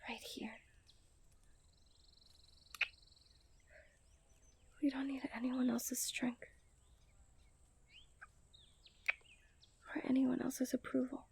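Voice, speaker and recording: A woman playing a confident, romantic young princess in a soft, intimate ASMR roleplay. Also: on the high side, flat delivery, normal speed, vocal fry, breathy edge, full character voice. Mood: tender, whispered. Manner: soft, intimate delivery that often drops into whispers.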